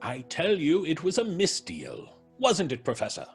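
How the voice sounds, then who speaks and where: An actor playing a jovial, scoffing gentleman at a seance in a radio drama, facetious and drawling. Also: a little high, wildly swooping pitch, moderate pace, faint music behind. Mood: flustered, incredulous. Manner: drawling, facetious, stammering politely. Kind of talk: old-fashioned radio drama acting.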